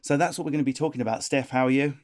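A man's voice: medium pitch, steady tone, racing. A man hosting a comedy podcast, cheeky and playful with jokey asides. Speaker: podcast host. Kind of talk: comic podcast chat.

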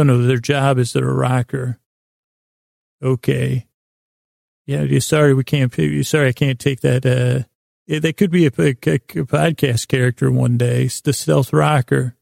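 A man hosting a sleep podcast, rambling in a halting, meandering way with a gravelly voice, often pausing to restate himself. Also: medium pitch, darkish tone, no room sound, studio quality. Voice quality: gravelly, creaky. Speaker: podcast host. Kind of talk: rambling bedtime monologue to help listeners sleep.

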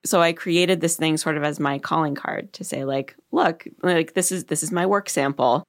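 A middle-aged woman with a deep voice, talking thoughtfully in a conversational interview and laughing easily. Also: normal speed. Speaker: podcast host, guest.

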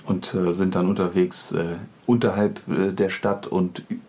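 A man's voice: low voice, flat delivery, normal speed, a little mellow. A man hosting a conversational interview, feeling his way through his phrasing with hesitations. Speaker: podcast interviewer, host.

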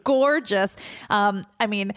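A woman's voice: medium pitch, takes it slowly, a bit bright, clean tone. A woman hosting a radio interview show from her home, enthusiastic and gushing, with frequent "uh"s.

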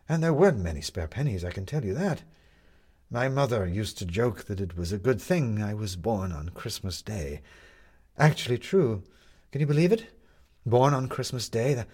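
Man playing storybook con artist, bitter and proud, low husky voice, impassioned, theatrical, swinging intonation. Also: a little mellow, full character voice. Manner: impassioned, emphatic, rhetorical.

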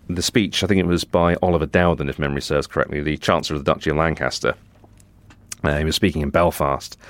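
A British man with a deep voice talks as a reporter on a news podcast. His analysis is discursive and full of qualifying asides. The sound is dry, with very little room in it. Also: dry recording. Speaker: technology reporter.

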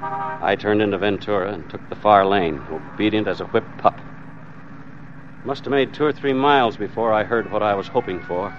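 An actor playing an anxious married hostage who narrates an old-time radio thriller in a wry, terse, hard-boiled way, with an American accent. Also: male voice, on the low side, normal speed, slight background noise, close to an everyday voice. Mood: tense, wry. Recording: muffled vintage radio recording.

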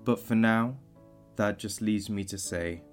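An actor playing a calm, courteous, wise bartender in an audio drama, speaking in a measured, formal way with a gravelly voice. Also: male voice, lowish voice, music in the background, natural voice. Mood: wry, reflective.

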